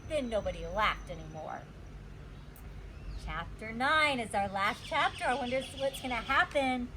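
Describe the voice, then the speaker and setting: A woman's voice: on the high side, unhurried, a little hiss or hum. A woman reading a children's story aloud as a teacher would, expressively and acting out the dialogue. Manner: animated, acts out the dialogue.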